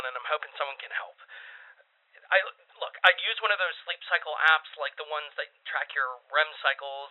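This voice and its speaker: A man playing an anxious amateur podcaster in a found-footage audio drama, rambling haltingly through a confessional monologue. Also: medium pitch, lightly acted. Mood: uneasy, hesitant. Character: anxious man recording a confessional podcast about eerie sleep noises. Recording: muffled, tinny radio or phone-like sound.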